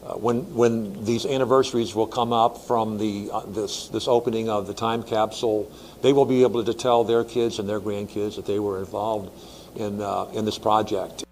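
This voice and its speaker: A man who teaches, speaking hesitantly in measured phrases. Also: lowish voice, flat delivery, a little mellow, low-quality recording. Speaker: Latin teacher. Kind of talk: interview answers and reading aloud.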